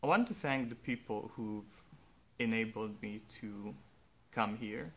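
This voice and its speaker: Slow, earnest man, Hungarian native. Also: on the low side, fairly bright tone, a little hiss or hum. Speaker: vlogger. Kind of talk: reflective personal monologue.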